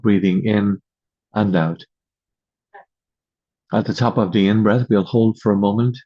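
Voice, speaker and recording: A man leading a guided meditation as host, giving slow, calm instructions in measured short phrases with long pauses, recorded over a video call. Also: fairly low pitch, breathy edge. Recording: dull lo-fi video call audio.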